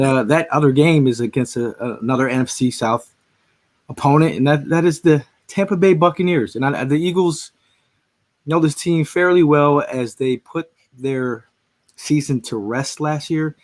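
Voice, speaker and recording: Philly-male podcast host, voice just back after losing it, rambling casual sports talk. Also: on the high side. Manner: rambling, frequent restarts and fillers. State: voice recovering from being lost.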